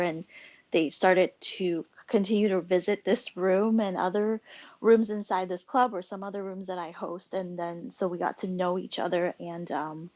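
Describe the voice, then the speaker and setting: A woman's voice: on the low side, a little mellow, dry recording. Woman, host, casual social audio chat, rambling with fillers, dry dull sound. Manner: rambling, many fillers and restarts. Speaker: host and club moderator.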